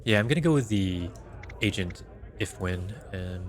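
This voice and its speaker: A man giving casual commentary as he plays a video game, with a creaky voice. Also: deep voice, expressive, normal speed. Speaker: gamer recording his playthrough. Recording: game music behind the voice.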